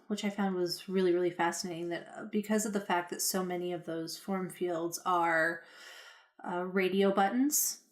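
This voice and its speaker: A woman co-hosting a podcast, speaking thoughtfully in casual chat with many fillers. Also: lowish voice. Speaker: podcast co-host.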